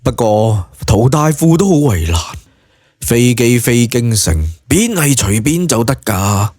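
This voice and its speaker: A man's voice: mid-range voice, big pitch swings, measured pace, darkish tone, no room sound, clean studio sound. A man with a Hong Kong Cantonese accent narrating a serialised novel in a dramatised audiobook style.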